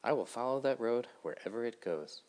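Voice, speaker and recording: A polite, cheerful male grasshopper on a journey, speaking gently and kindly, as a man reading a storybook puts him on. His voice sits a little above the narrator's own. It is a storybook character voice. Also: mid-range voice, animated, a little fast, pitched above the performer's own voice, lightly acted. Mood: friendly.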